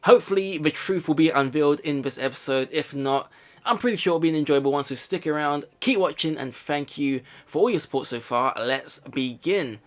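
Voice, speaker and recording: Male let's player, voice a little high, casual and rambling, reads narration then muses aloud. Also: a little fast. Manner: rambling, repeats phrases, thinks aloud. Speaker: let's play host. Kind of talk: casual game commentary mixed with reading visual novel narration aloud.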